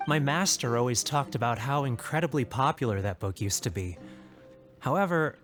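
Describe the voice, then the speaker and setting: A man's voice: medium pitch, expressive, normal speed, fairly bright tone, faint music behind, close to an everyday voice. A man voicing a resigned, self-deprecating cafe storyteller in a video game, with a theatrical manner. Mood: surprised, wistful.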